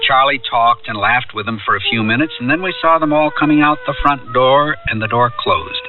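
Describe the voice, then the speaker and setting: An actor playing a man who narrates and takes part in a radio drama, telling it in a measured, reflective way. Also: medium pitch, close to an everyday voice. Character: Mac, male storyteller recalling a summer with buddies. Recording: distant, narrow-band radio recording with music.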